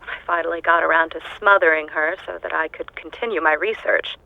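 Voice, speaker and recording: An unhinged lonely scientist. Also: female voice, sits low, fairly even intonation, brisk, vocal fry, no echo. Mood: cold. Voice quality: dry, clipped, detached delivery drifting into manic glee. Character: lonely, unhinged woman scientist alone on a hostile planet. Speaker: scientist recording audio logs. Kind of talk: game audio log monologue. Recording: dull lo-fi game audio log.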